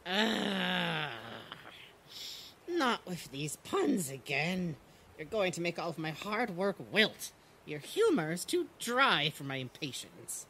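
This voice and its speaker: A man's voice: sits high, sing-song, takes it slowly, vocal fry, sharp tone, slight background noise, exaggerated voice. A man voicing a fussy, dry-witted puppet gardener and bug expert in a cartoon, with prim, precise, sardonic wording. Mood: exasperated. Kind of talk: cartoon character voice acting.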